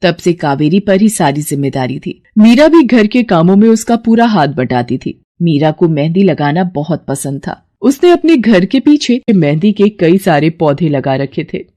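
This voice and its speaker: A woman narrating a moral story in a calm, measured way. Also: fairly low pitch.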